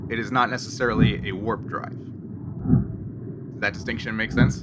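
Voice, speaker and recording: Halting male game master over loud noise. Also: on the low side, fairly even intonation. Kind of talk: improvised tabletop game narration. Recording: noisy, loud background hum.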